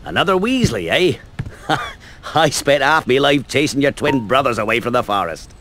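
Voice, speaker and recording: A man playing a big, friendly, rough-hewn British gamekeeper in a video game, hearty and homely. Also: medium pitch, big pitch swings, moderate pace, fairly bright tone, a little hiss or hum. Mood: jovial. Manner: hearty, homely, warning fondly. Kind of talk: video game cutscene acting.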